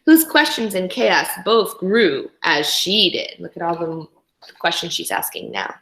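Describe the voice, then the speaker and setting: A woman reading a storybook aloud to young children in an expressive, teacherly way, with instructive asides to her listeners. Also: lowish voice, unhurried. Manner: animated, instructional, talks directly to young listeners. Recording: echoey room.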